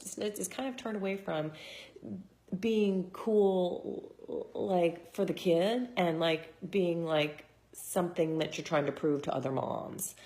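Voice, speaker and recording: A woman in her thirties, hoarse from shouting, chatting casually to her followers in a rambling way full of fillers. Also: sits low. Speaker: vlogger and mom. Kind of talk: casual chat to camera.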